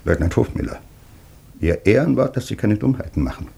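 An actor playing a gruff, domineering older army colonel in a German radio drama, barking curt commands. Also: male voice, on the low side, lively intonation, a little fast, noisy background. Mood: gruff, urgent.

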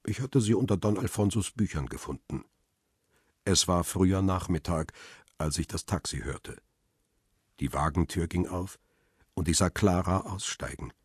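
Weary crime-drama narrator, very low pitch, husky, dry close sound, measured and subdued. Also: male voice, dry recording. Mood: wistful, calm. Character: weary, lovelorn male paparazzo narrating his thriller story. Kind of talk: radio drama first-person narration.

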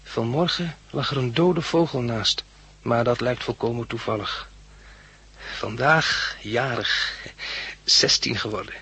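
A man's voice: mid-range voice, a little slow, long pauses, bright, forceful tone, breathy edge, heavily acted. An actor playing an earnest man who recounts his studious youth with precise diction in a 1960s Dutch radio drama.

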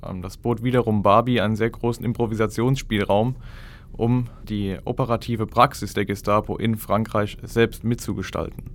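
A man's voice: on the low side, fairly even intonation, normal speed. A man speaking as a historical expert, explaining in an academic manner for a documentary.

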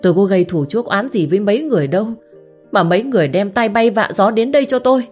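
A woman voicing a young village mother who shouts accusations, her voice rising to a shrill pitch. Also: lively intonation, quick pace, soft background music, lightly acted. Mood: furious.

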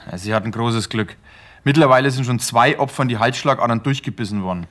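A man's voice: mid-range voice, fairly quick, fairly bright tone, natural voice. A man acting in a radio play as a matter-of-fact, direct police investigator. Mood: brisk. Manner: factual, direct.

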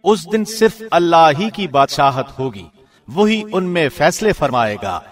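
A man reads a scripture translation from a script like a radio presenter, formal and measured, with clear phrasing. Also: a little high, very animated.

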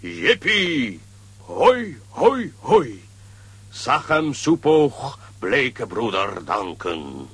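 A man's voice: mid-range voice, wildly swooping pitch, drawn-out words, fairly bright tone, husky, dry recording, exaggerated voice. A man playing a proud, stern chief who speaks in clipped, broken sentences and grunts in a comic children's radio play. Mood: excited.

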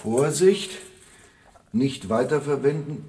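A man's voice: medium pitch, slow pace, warm tone. Jumpy nervous sidekick guy in a radio play, echoey, bit of noise. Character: Bucky, excitable, nervous gadget-spotting sidekick, man. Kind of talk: German radio drama acting.

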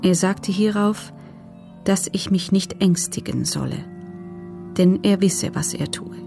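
An actress playing a guarded female prisoner in a documentary radio play, recounting her testimony in a restrained, measured, sober way. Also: medium pitch, vocal fry, music in the background.

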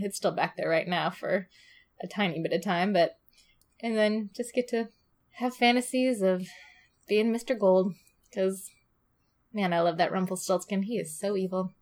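A woman's voice: mid-range voice, a little mellow. Cheerful lady co-host, chatty and giggly, casual podcast talk. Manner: cheerful, laughs often. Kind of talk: casual chat.

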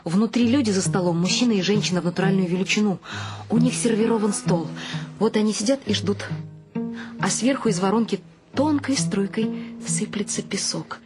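A woman acts as a wry wife narrating a radio drama. Her voice has a middling pitch, and music plays behind her storytelling. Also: moderate pace, close to an everyday voice. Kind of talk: scripted radio play narration.

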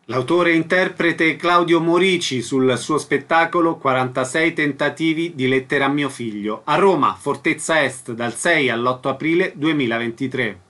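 Male radio host, higher-pitched voice. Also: normal speed, hardly any pauses, fairly bright tone. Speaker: radio host interviewing guests. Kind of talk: radio interview, conversational.

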